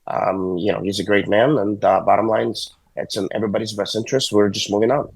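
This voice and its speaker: A hoarse, sick man calls in from a hospital bed, his voice low and gravelly with a husky edge. He talks haltingly, full of fillers and restarts, and the sound is dry and close. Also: fairly even intonation, a little mellow, no room sound, low-quality recording. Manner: halting, many uhs and restarts. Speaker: hockey agent guest. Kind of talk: phone-in interview. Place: hospital room. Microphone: phone call.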